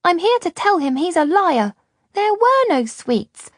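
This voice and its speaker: A woman's voice: extremely high pitch, sing-song, unhurried, no room sound, clean studio sound, pitched above the performer's own voice, heavily acted. A woman reading a storybook, voicing a frightened little girl who accuses and complains. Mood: accusing. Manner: accusing, plaintive.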